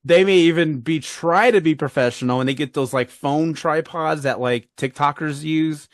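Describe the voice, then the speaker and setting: A man's voice: fairly high pitch, normal speed, no room sound, studio quality. A man with a Midwestern accent hosting a podcast in casual chat, rambling, repeating himself and laughing often. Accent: Midwestern American. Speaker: podcast host.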